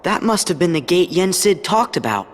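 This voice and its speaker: A man's voice: sits high, sing-song, normal speed, background noise. An actor playing an earnest, upbeat teenage boy hero in a video game cutscene. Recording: long echoing reverb, faint music.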